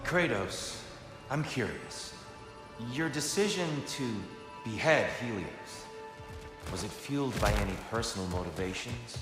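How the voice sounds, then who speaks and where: An actor playing a calm, wry, curious companion in a video game, articulate and probing, with long reverb on the voice. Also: male voice, mid-range voice, unhurried, lightly acted. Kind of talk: cinematic video game acting. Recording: long echoing reverb under loud music.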